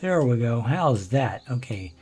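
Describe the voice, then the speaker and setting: An older man with a naturally low voice, hosting a gameplay video and musing to himself in unhurried, relaxed commentary as he builds. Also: faint music behind. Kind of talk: relaxed let's-play commentary.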